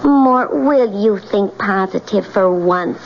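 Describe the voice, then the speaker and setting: A woman's voice: mid-range voice, slow pace, creaky. An American actress playing a brassy, scheming wife who impatiently coaxes and manipulates her husband in a 1970s radio drama. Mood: persuasive. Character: scheming, two-faced wife, sweetly coaxing her husband. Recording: dull, noisy old radio broadcast.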